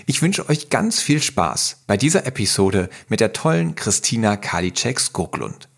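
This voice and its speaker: A man's voice: lowish voice, sing-song, moderate pace, creaky. Enthusiastic podcast host man. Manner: friendly, enthusiastic. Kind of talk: casual interview podcast.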